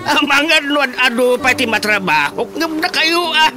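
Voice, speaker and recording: A bossy foreman in an Ilocano-accented radio comedy. He is hot-tempered and scolds in exclamations, with loud music playing behind him. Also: very high-pitched, animated, a little slow. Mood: scolding, exasperated. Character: bossy, hot-tempered work-crew foreman barking orders. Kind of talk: radio drama comedy acting.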